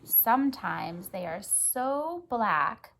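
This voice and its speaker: An American woman reading a picture book aloud for children and chatting gently, often prompting a young child with questions. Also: mid-range voice, big pitch swings, very slow, gravelly, a little hiss or hum. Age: adult. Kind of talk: children's story read-aloud with gentle chat.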